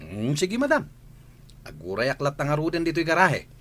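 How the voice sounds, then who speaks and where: A man's voice: a little high, wildly swooping pitch, fairly quick, a bit bright, exaggerated voice. A man with an Ilocano accent playing a flirty, cheeky household driver who obeys his madam in a radio comedy drama. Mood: obedient, puzzled. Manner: cheeky, sly, playful.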